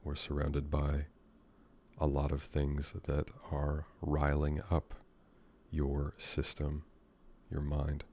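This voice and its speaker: An American man guiding a meditation in a gentle, unhurried, unscripted way, leaving long pauses between phrases. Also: a bass voice, monotone. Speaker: meditation guide. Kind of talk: guided meditation, soothing and unscripted.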